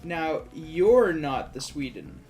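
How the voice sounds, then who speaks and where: Quebec guy co-commentating a game, teasing n sarcastic, slightly high voice, faint music. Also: wildly swooping pitch, slow. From Quebec, Canada. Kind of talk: casual joking chat over gameplay.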